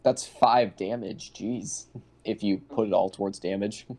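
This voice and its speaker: A man's voice: on the low side, steady tone, measured pace, darkish tone. A man talking casually about rules as a player in a tabletop game. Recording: faint noise, clicks.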